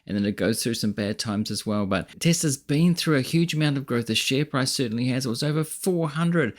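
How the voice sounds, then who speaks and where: A man hosting a finance reaction video, speaking casually in a skeptical, critical way. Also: mid-range voice. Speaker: video host and finance commentator.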